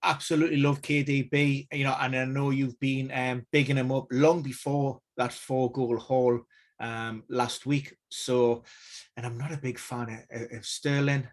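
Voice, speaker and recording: A man giving injury news analysis on a casual live stream, measured and hedging, with many fillers and restarts. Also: medium pitch, flat delivery.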